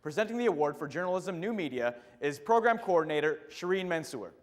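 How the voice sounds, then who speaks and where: Male ceremony host, higher voice, smooth announcing, reverberant hall. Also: lively intonation, fast talker. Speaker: awards host. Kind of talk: scripted announcing.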